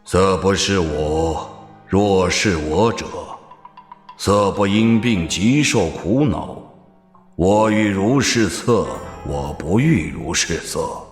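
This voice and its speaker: An actor playing a solemn, quietly ominous old Buddhist abbot in an audio drama, measured and formal. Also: male voice, deep voice, lively intonation. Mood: chanting solemnly. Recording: long echoing reverb, music behind.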